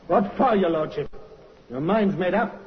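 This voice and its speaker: A surly, cocky small-time crook. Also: male voice, high voice, unhurried, a little hiss or hum. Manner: curt, sullen, cocky retorts. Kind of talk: 1950s radio acting. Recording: dull vintage radio broadcast.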